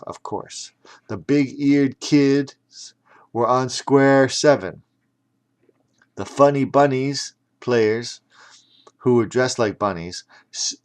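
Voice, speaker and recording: A male audiobook narrator with a medium-pitched voice and an unhurried, slow delivery. He tells a children's story theatrically and slips into chatty asides to explain things.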